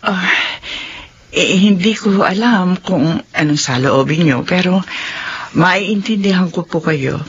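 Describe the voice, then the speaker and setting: Low-pitched, dignified middle-aged mother played by a woman. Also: takes it slowly, husky, lo-fi sound, full character voice. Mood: earnest, polite. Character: earnest, dignified mother and school principal in love. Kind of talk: radio drama acting.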